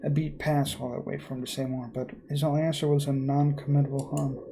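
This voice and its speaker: A man reading a first-person horror story aloud as a let's player, in a measured, theatrical way. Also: on the high side, little pitch movement, vocal fry, background noise.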